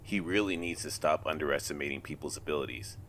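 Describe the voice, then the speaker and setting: An actor in an audio drama playing a young, earnest, mild and conflicted superhero who speaks in a measured way and halts under pressure. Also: male voice, rumbling low, fairly bright tone, slight background noise. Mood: calm, musing.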